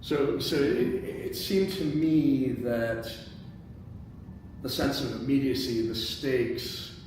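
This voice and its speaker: A man's voice: mid-range voice, slow, darkish tone. Give me a man moderating in a very echoey room. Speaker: moderator of a screenplay table read. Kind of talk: informal group discussion and reading aloud stage directions. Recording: echoey, noisy room, distant microphone.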